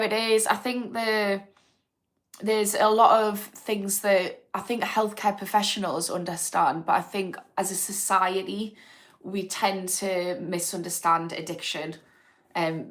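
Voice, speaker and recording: A woman's voice: medium pitch, little pitch movement, unhurried. A woman, hosting a casual livestream and talking frankly about addiction recovery as she answers viewers' comments. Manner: chatty and frank, thinking aloud with fillers. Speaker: host of an addiction recovery channel.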